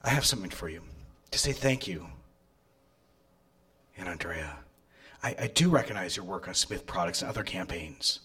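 An actor playing a controlling, smooth-talking boss who courts his employee in a deliberate, coaxing way, in a live radio drama. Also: low-pitched, expressive, fairly bright tone. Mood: tender, possessive.

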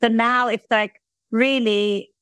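A woman's voice: a little high, fairly even intonation, slow, a bit bright, smooth, dry recording, studio quality. A woman from Iceland, not a native English speaker, talking as an interview guest about her online business in an upbeat, chatty way. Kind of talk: conversational interview. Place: based in Switzerland.

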